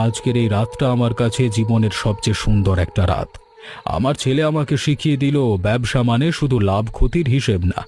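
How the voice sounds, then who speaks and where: An earnest, sentimental village shopkeeping family man from a cartoon , acted by a man. He speaks emotively at a medium pitch, with music playing behind him. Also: darkish tone, close to an everyday voice. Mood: tender. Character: sentimental father and grown son of a village sweet-shop family. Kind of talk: Bengali cartoon dubbing. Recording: clear music bed behind the voice.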